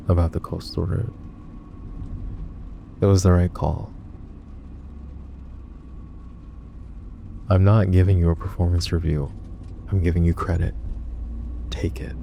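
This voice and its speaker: A man playing a possessive, protective crime-family husband in an intimate boyfriend roleplay, speaking in a gruff, wry, low-key murmur. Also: noisy background. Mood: gruff, affectionate.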